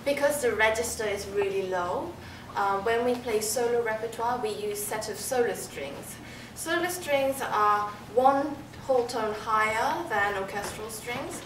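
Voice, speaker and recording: A woman's voice: on the high side, unhurried, hardly any pauses, recorded from a distance. A young woman from South Korea, a native Korean speaker with a British-influenced accent, modestly and hesitantly answering interview questions as a musician in a noisy, roomy hall. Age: young adult. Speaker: double bassist, concert soloist being interviewed. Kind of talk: onstage interview answers to an audience. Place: concert hall stage.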